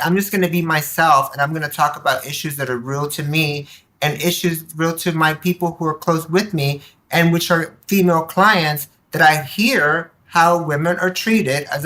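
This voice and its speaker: A woman guest on a podcast telling personal stories candidly, with an animated, emphatic manner and acted-out quotes. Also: sits low, moderate pace. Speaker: podcast guest, nonprofit board member. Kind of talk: candid personal storytelling in conversation.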